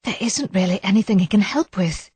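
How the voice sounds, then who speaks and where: A woman voicing a gentle, anxious Englishwoman in an audiobook, hesitant and apologetic. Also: mid-range voice, animated, fairly quick, slightly rough, dry recording. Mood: dismayed. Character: shy, anxious, gentle Englishwoman, easily flustered.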